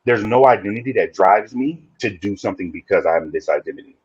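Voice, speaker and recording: Man, very low voice, husky, dry dull sound, reflective, restarts sentences. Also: expressive, fairly quick, a little mellow, no room sound. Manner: reflective, speaks in restarts and repeats. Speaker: podcast guest. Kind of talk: casual interview conversation.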